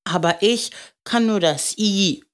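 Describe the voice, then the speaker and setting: A woman's voice: medium pitch, slow, pitched above the performer's own voice, natural voice. A woman voicing an earnest, easily downcast little schoolboy in a storybook, with a solemn, childlike way of talking.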